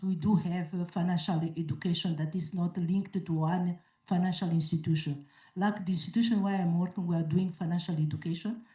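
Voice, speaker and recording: A woman answering as a conference panelist, in a measured way that repeats phrases for emphasis. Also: lowish voice, warm tone. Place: conference auditorium. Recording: echoey hall mic from a distance.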